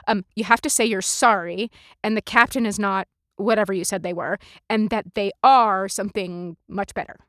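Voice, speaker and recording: A woman voicing a matter-of-fact young woman explaining things briskly to a friend. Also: mid-range voice, steady tone, fairly bright tone, no room sound, clean studio sound, lightly acted.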